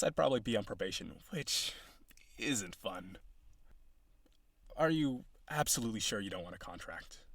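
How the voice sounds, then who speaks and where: A man's voice: on the low side, a bit bright, no echo, exaggerated voice. A man playing an awkward, businesslike villain on a blind date in an audio roleplay, talking to the listener in a hesitant, dry, earnestly formal way. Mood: dry, cautious.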